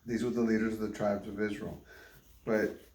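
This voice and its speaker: A man's voice: fairly low pitch, steady tone, moderate pace, a little mellow. A man reading the Bible aloud as a father, stumbling over difficult names and retrying them. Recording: roomy echo, faint background noise.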